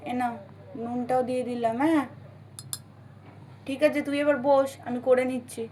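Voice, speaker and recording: A woman's voice: sits high, steady tone, moderate pace, dark tone, a little hiss or hum, lightly acted. A woman voicing a caring, teasing village housewife in a doll story, with a coaxing, familiar manner.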